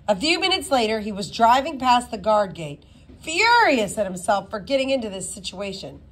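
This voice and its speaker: A woman reading a children's novel aloud as an expressive storyteller. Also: fairly high pitch, moderate pace. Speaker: reader.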